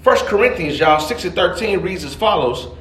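A man's voice: high voice, animated, normal speed, fairly bright tone. A man preaching a sermon as a pastor, colloquial and emphatic, repeating phrases and catchphrases to drive points home. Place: indoor church before a responding congregation. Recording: roomy live church echo.

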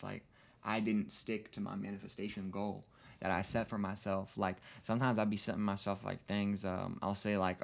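Male podcast co-host, early twenties. Lowish voice, earnest, slangy, loose and rambling conversational delivery. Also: vocal fry. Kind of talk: casual reflective podcast chat.

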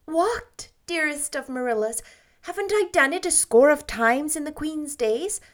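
A woman reading aloud and voicing an effusive, dreamy young woman in a lilting, gushing, high voice. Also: animated, a little slow.

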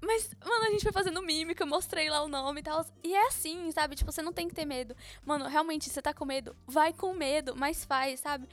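A young woman from Brazil talks fast in a chatty, informal way, laughing often. Her voice sits high. Faint music plays softly behind her. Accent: Brazilian Portuguese. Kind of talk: casual chat.

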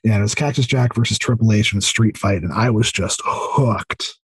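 A man in his thirties chatting casually as a podcast guest, explaining things enthusiastically in a halting way full of fillers. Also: on the low side, husky, dry recording. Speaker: podcast guest, audio drama creator. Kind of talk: casual interview chat.

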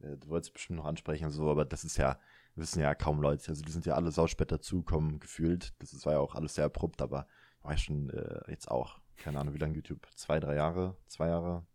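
A man's voice: rumbling low, steady tone, fast, dark tone, no echo. A man in his thirties hosting a casual chat, laid-back and rambling, often tagging his sentences with little filler questions. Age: 30s. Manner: laid-back, rambling, self-mocking, frequent filler tags. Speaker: podcast host.

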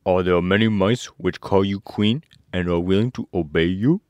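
A low-pitched storybook scarecrow, acted out by a man, eager to help and sure of himself. He talks earnestly and persuasively in a dry, close recording. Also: moderate pace, a little mellow, no room sound, highs cut off, close to an everyday voice. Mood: confident. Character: eager straw-stuffed scarecrow, male, helpful and confident. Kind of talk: storybook character voice.

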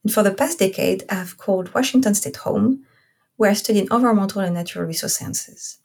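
A woman's voice: medium pitch, brisk, gravelly, a little mellow. A woman in her thirties from Corsica with a French accent, hosting a podcast, reading her intro carefully and then chatting with a guest. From Corsica, France. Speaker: podcast host. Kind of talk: scripted intro then casual interview.

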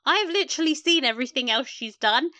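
A woman's voice: high voice, animated, a little fast, sharp tone. A woman co-hosting a casual, chatty recap discussion, gushing and playful in slangy speech. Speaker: podcast co-host.

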